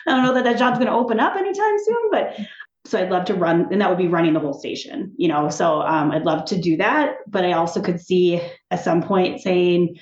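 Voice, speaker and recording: A woman talking as a guest in a casual interview, animated and chatty, often laughing and restarting her sentences. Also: fairly low pitch. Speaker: TV executive, podcast guest. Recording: echoey room, dull sound.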